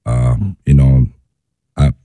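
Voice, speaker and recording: A man with an Italian accent, a guest in a studio interview, speaking haltingly as he searches for English words. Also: extremely low pitch, flat delivery, warm tone, no echo. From Italy. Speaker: guest chef.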